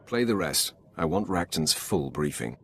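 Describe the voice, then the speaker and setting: An actor voicing an imperious, menacing dark lord in a video game, with a haughty, commanding delivery. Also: male voice, sits low, expressive, normal speed, gravelly, a little hiss or hum. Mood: cold, commanding. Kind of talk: scripted game dialogue.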